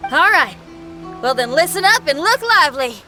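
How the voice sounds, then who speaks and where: A woman's voice: high-pitched, very animated, moderate pace, bright, forceful tone, soft background music, heavily acted. An actress playing a cool, shrewd young female rock musician in a video game, confident and theatrical with casual phrasing. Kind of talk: video game character acting.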